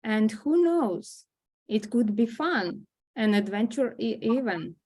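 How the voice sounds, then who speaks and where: A woman at a script table read playing a gentle, loving grandmother who reassures her granddaughter. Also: a little high, very animated, takes it slowly, exaggerated voice.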